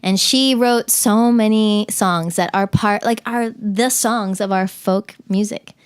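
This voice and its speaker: A woman's voice: medium pitch, slow, clear, resonant tone. A woman from the Cayman Islands, a podcast guest, talking in a chatty, casual way with many fillers and restarts. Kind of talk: casual interview chat.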